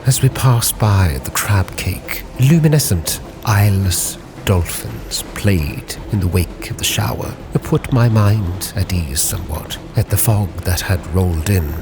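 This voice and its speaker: Deep-voiced man narrating a captain's log. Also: lively intonation, noisy background. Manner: measured, literary, theatrical delivery. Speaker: roleplay storyteller, channel host. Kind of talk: dramatic scripted narration. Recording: prominent background music. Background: music.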